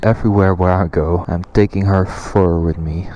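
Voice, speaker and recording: A man's voice: deep voice, steady tone, moderate pace, lo-fi sound. A man with a Dutch accent, speaking English as a second language, chatting casually as he rides a motorcycle, with small slips in his grammar. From Netherlands. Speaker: motovlogger. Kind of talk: casual motovlog narration while riding. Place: on a motorcycle on city streets and a highway. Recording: loud wind and engine noise.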